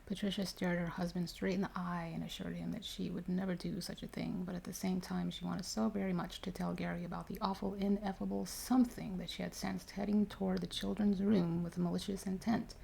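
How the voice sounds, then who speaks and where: Very creaky low-voiced woman reading ASMR. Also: level tone, fairly quick, hardly any pauses, a little mellow, slight background noise, poor audio. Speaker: story reader. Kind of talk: ASMR reading of a ghost story.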